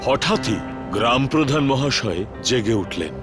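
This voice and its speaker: A man's voice: mid-range voice, expressive, normal speed. A man narrating a scripted horror story in a dramatic storytelling style. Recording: music behind the voice.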